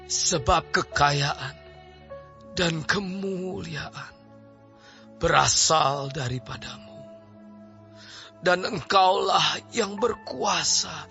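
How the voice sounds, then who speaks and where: A man's voice: on the high side, very slow, long pauses, pushed and bright, exaggerated voice. An actor playing an aged, devout King David in an audio drama, solemn and declamatory, speaking with reverent deliberation. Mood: reverent, exalting. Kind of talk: audio drama Bible acting. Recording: slightly dull audio under clear music.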